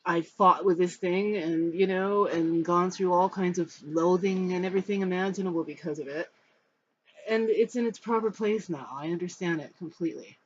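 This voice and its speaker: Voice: mid-range voice, moderate pace. American in their fifties, dull noisy recording. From Los Angeles, United States. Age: 50s. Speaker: spiritual storyteller. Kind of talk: personal talk to camera, answering questions. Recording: dull, muffled sound with background noise.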